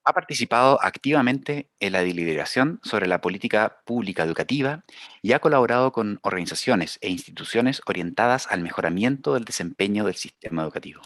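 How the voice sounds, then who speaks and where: A man's voice: fairly low pitch, lively intonation, fairly quick, fairly bright tone, dry recording, clean studio sound. A man with a Chilean accent moderating a panel, formally introducing a guest, with small hesitations as he reads. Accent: Chilean Spanish.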